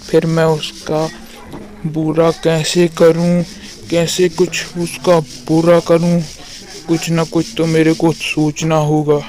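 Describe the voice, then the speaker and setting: A man voicing a sly, envious farmhand in a cartoon moral story, with a measured, scheming manner. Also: fairly high pitch, monotone, a little mellow. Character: sly, envious male farmhand plotting against his workmate.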